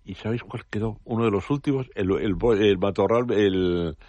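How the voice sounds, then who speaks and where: Older man from Spain, deep voice, professorial radio expert, dry slightly dull studio sound. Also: normal speed, warm tone, no echo. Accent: Spanish from Spain. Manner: professorial, digressive, jokey. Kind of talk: casual radio chat. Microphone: studio mic.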